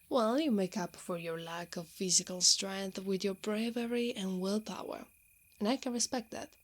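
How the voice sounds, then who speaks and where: A hushed, unhurried female knight, acted by a woman in an ASMR roleplay. She is strong and protective, with a tender, teasing temper. Her soft voice often slips into breathy whispering at a medium pitch. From Italy. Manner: slow, intimate, hushed delivery. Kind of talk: ASMR roleplay, intimate scripted acting.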